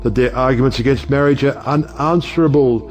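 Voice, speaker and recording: Smooth, persuasive older judge played by a man. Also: mid-range voice, fairly quick, darkish tone, faint music behind, lightly acted. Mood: smooth, conciliatory. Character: genial, scheming older judge uncle smoothing over family quarrels. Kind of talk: audio play acting.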